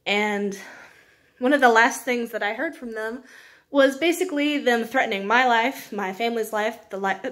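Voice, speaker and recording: A woman's voice: fairly high pitch, a bit bright. A young woman chatting casually as she reviews books, rambling in an informal, self-deprecating way with small laughs. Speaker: book reviewer, video host.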